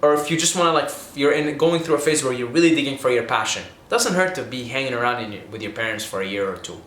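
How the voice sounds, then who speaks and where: A man's voice: medium pitch, expressive, fast talker, a bit bright. A young man from Syria, talking casually to camera with money tips, rambling and earnest, often stopping to restart and adding "okay?". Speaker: vlogger giving money tips. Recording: echoey room.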